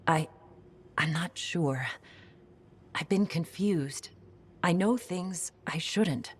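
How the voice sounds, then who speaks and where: An actress playing a gentle, confused woman in video game dialogue who speaks hesitantly about uncertain memories. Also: fairly low pitch, husky. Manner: hesitant, halting, soft.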